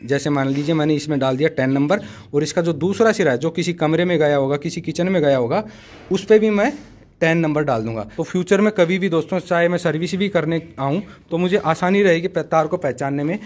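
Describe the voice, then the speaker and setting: Higher-pitched man explaining methodically. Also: brisk, darkish tone. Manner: instructive, methodical, often addresses viewers as friends. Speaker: tutorial host, technician. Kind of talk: practical how-to explanation to camera.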